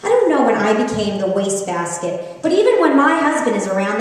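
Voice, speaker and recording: A middle-aged American woman, an author giving a humorous, conversational live book reading with animated, expressive delivery, recorded from a distance in an echoey room. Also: fairly high pitch. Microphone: distant microphone.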